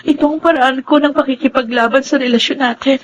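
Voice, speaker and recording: Desperate, high-pitched woman, acted by a woman. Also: steady tone, fast, a crowd in the background, close to an everyday voice. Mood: desperate, demanding. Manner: tearful, intense. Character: desperate, emotional woman in anguished family drama. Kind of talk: Tagalog radio melodrama acting.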